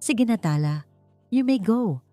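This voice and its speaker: A woman's voice: fairly high pitch, lively intonation, a little slow, mellow, pitched above the performer's own voice. A woman voicing a cruel, haughty rich bride in an audio drama, taunting and falsely sweet, over music. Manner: taunting, switches to sugary sweetness.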